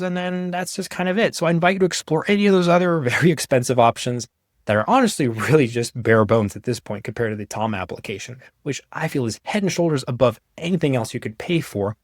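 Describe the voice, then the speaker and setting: A young man giving a casual, conversational walkthrough of software he made, in an informal way. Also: mid-range voice, no room sound, studio quality. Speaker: app developer presenting his own software.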